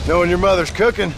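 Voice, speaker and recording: Gruff, gravelly American frontier father. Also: high-pitched, noisy background, full character voice. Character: gruff weary frontier father and husband, wry. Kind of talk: video game cutscene acting.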